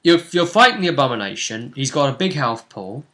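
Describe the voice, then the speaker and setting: A man's voice: mid-range voice, sing-song, a little fast, fairly bright tone. A man acting as game master, casually recapping a tabletop session in a storytelling way.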